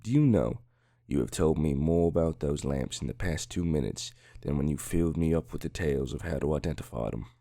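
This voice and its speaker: A man voicing a shrewd, blunt police detective in a dramatic audiobook, measured and haughty in manner. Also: a bass voice, lively intonation, gravelly, mellow, no echo, clean studio sound, exaggerated voice. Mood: haughty, cool.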